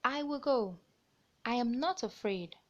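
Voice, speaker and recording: A woman's voice: a little high, lively intonation, a little slow, a bit bright, dull audio. A woman voicing brave, earnest birds from a children's storybook family, in a simple delivery. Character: brave, caring female bird family, storybook characters.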